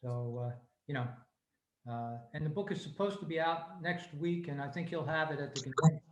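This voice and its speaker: A man's voice: on the high side, brisk, a little mellow. A man making a casual announcement on a video call, polite and a little hesitant. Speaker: club member. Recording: roomy, dull video-call audio.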